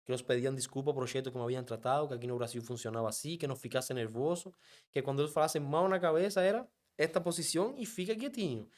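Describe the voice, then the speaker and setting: A man from Cuba, a native Spanish speaker with a Cuban accent, telling a story in a casual interview and slipping Spanish words into his Portuguese. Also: medium pitch. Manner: animated, quick, mixes Spanish words into Portuguese. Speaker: guest, app driver.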